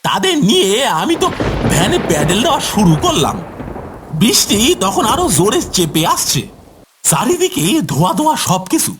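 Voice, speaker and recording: A man voicing a poor rustic van driver, a wronged ghost, in a dramatic drawn-out cartoon horror storytelling manner. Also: high-pitched, wildly swooping pitch, noisy background. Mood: suspenseful storytelling.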